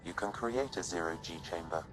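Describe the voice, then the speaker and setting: A male ship AI from a sci-fi game. The voice is very deep, polite and formal, offering help calmly. Music plays clearly behind it. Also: normal speed. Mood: calm, helpful. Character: polite, helpful male shipboard artificial intelligence. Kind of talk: video game cutscene acting.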